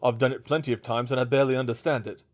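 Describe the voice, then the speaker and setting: A man's voice: mid-range voice, steady tone, very fast, muffled recording, lightly acted. A man voicing a casual young male wizard in an audiobook, offhand and doubtful.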